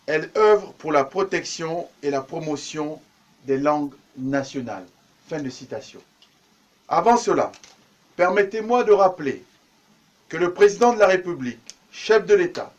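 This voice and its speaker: A man's voice: a little high, lo-fi sound. A man, a government minister, giving a formal, ceremonious official speech.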